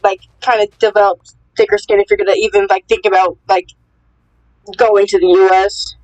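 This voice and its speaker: A young woman chatting on a group voice call, talking in a rapid, rambling way with lots of fillers and emphasis. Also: medium pitch, flat delivery, a little mellow, rough recording. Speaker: call participant. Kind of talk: casual group call chat.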